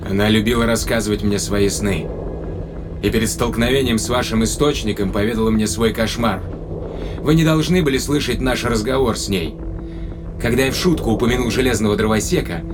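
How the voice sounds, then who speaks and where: A man's voice: medium pitch, close to an everyday voice. A man playing a skeptical, wary space traveler in an audio drama, dryly sardonic and questioning, with music behind him. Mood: matter-of-fact.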